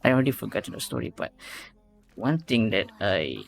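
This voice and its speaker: A man's voice: on the low side, very animated, brisk, vocal fry. A man hosting a reaction video, giving casual commentary and analysis as he thinks aloud and repeats phrases. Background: faint music.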